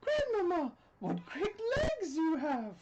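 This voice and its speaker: Woman voicing a very high-pitched innocent little girl. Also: huge pitch swings, slow pace, heavy background noise, heavily acted. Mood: amazed. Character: innocent, curious little country girl in a fairy tale.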